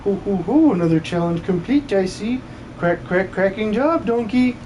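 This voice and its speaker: A man voicing an excitable little game mascot creature, chirpy and hooting, that stutters and repeats words. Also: sits high, normal speed, slight background noise. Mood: jolly, excited.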